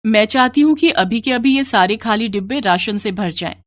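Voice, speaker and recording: A woman's voice: fairly high pitch, lively intonation, normal speed, close to an everyday voice. A woman voicing a kind, earnest young bride with magical hands in a storybook tale. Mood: commanding, hopeful.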